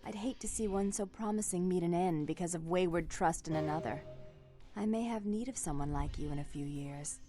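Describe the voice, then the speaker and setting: A woman's voice: on the low side, brisk, dark tone, faint music behind, natural voice. An actress playing a cold, controlling vampire businesswoman in a video game, speaking in a formal, composed, condescending way. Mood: cool warning. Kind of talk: video game character acting.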